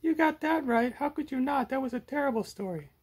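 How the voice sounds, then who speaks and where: A man voicing a whiny, excitable, childlike little monster in a comic puppet show, candy-loving and pleading. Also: very high voice, brisk, slightly rough, voice pushed up, full character voice. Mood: complaining.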